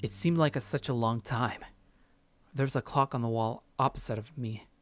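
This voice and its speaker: Guy streamer reading a visual novel out loud, casual, trips on words a bit, mid pitch, game music under. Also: normal speed. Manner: casual, stumbles over text. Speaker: let's play streamer.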